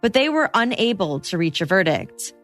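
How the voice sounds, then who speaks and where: A woman hosting a podcast, reading scripted true crime narration in a measured, matter-of-fact way. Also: mid-range voice, sing-song, a bit bright, faint music behind.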